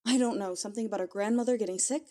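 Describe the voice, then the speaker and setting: Actress as a brisk, mid-pitched lady-in-waiting. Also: dark tone, lightly acted. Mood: concerned, brisk. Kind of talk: fantasy audio drama acting.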